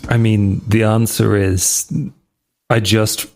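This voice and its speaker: A man's voice: fairly low pitch, flat delivery, slow pace. A man playing his character in a tabletop role-playing game, speaking in a hesitant, wry way. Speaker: player.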